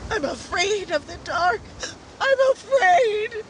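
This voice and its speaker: A woman's voice: very high voice, lively intonation, takes it slowly, slight background noise, heavily acted. An actress playing a terrified, paralysed girl trapped alive in a coffin, pleading tearfully in radio horror style. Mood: tearful terror.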